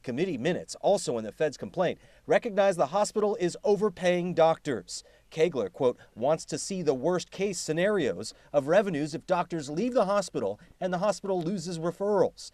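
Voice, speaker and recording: A male investigative reporter narrates a scripted TV news story in a high-pitched voice. His phrasing is emphatic and deliberate. The sound is dry, with no room echo. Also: animated, a little mellow.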